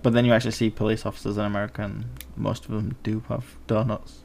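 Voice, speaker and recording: A man's voice: lowish voice, little pitch movement, fast, gravelly, a little mellow. A young man co-hosting a casual film podcast, laid-back with dry remarks. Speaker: podcast co-host.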